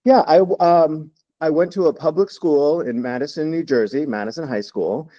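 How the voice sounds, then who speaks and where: A man in his fifties from Texas, a podcast guest telling his story in a relaxed, reflective way with many fillers. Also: on the high side, normal speed, no room sound, muffled recording. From Texas, United States. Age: 50s. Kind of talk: interview storytelling.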